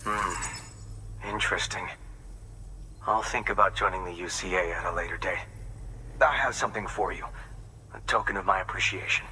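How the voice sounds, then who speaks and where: An actor playing a wary, independent recluse in a video game, with measured speech. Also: male voice, low voice, noisy background, close to an everyday voice.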